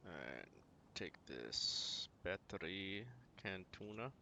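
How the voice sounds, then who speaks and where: A man's voice: sits low, sing-song, takes it slowly, gravelly, a little hiss or hum. A man streaming a video game, giving casual running commentary and often muttering to himself as he plays. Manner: muttering to himself while playing.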